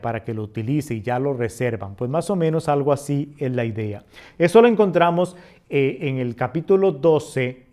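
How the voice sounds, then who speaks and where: A man's voice: mid-range voice, darkish tone. A middle-aged man from Costa Rica with a Costa Rican Spanish accent, reading scripture aloud and then explaining it as a teacher, with his voice swelling on key points. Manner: reads passages slowly, then explains with swelling emphasis.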